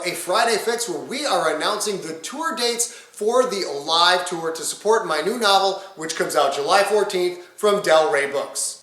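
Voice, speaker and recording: Man, high bright voice, roomy echo, playful, emphatic, upbeat promotional announcement. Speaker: author and podcast host.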